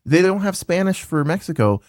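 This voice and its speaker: A man's voice: high voice, very animated, fairly quick, dry recording, clean studio sound. A man hosting a casual interview in a laid-back way, often saying dude and man. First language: English. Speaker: podcast host, game store owner.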